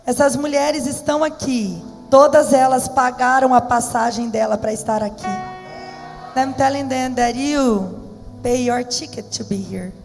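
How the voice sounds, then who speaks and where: A woman hosting a church event, speaking slowly and emphatically and switching between languages. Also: sits high, a little mellow. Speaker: host. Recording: reverberant hall with music.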